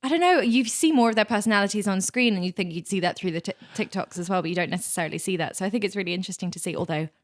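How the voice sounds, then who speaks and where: A woman's voice: medium pitch, racing, hardly any pauses. Playful teasing young woman co-host. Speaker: podcast co-host. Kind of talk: casual chat.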